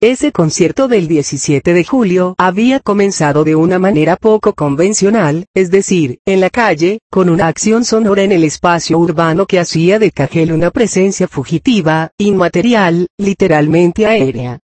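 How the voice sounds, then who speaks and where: A narrator reading a scholarly text aloud in a formal, measured, scripted way. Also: mid-range voice, a little mellow, dry recording.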